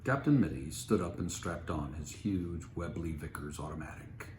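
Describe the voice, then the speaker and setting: A man with a deep voice reads a story aloud. The recording is roomy, with a faint layer of noise. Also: normal speed, darkish tone. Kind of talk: story narration, reading aloud. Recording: roomy, noisy home recording.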